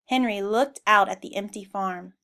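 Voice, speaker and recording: A woman reading a children's storybook aloud in an expressive style. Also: mid-range voice, normal speed, gravelly. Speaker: storybook reader.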